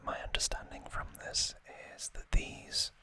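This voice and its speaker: A man hosting an ASMR video, whispering throughout as he explains things off the cuff, with thoughtful, hesitant pauses. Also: pushed and bright. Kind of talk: whispered ASMR, unscripted explanatory unboxing talk.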